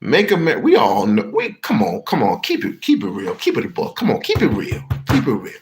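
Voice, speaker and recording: A man's voice: medium pitch, huge pitch swings, a little fast, background noise. A man hosting a heated commentary rant, slangy and swearing, repeating himself for emphasis. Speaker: commentary show host.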